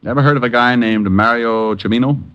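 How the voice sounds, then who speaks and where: A man's voice: mid-range voice, brisk, clear, resonant tone, dull audio, natural voice. A man playing a wry, wisecracking private detective with an American accent, in 1950s radio drama style. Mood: dry, coaxing. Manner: dry, wisecracking.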